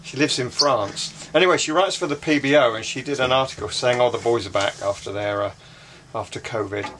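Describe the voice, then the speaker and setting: A man's voice: medium pitch, a bit bright. Dry older man, noisy camera mic. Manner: dry, correcting, halting with restarts. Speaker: sailing vlog co-host. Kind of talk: casual chat to camera. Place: inside a small yacht cabin. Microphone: handheld action camera close to face.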